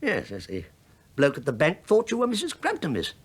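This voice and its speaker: An actor playing an older British police inspector in a radio play, falsely humble, rambling and needling with mock politeness. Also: male voice, a little high, wildly swooping pitch, a little fast, slightly rough, exaggerated voice. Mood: sly, mock-polite.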